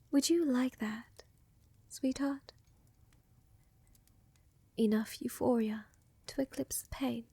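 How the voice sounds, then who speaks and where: A woman playing a seductive, tender vampire countess in a soft, intimate roleplay ASMR style, often dropping to a whisper, with a teasing, assured manner and formal wording. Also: a little high, vocal fry, husky, dry recording, exaggerated voice. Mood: seductive, dark. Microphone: close microphone, dry.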